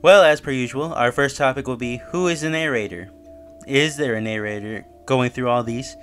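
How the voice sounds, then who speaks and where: A man's voice: mid-range voice, normal speed, fairly bright tone, faint music behind. A man hosting his own channel, casually recapping and reviewing a horror web series, talking loosely with fillers and self-corrections.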